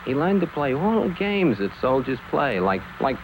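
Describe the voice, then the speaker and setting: Animated male storyteller on old film. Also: medium pitch, normal speed. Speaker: narrator. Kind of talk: storytelling cartoon narration. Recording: noisy old film soundtrack.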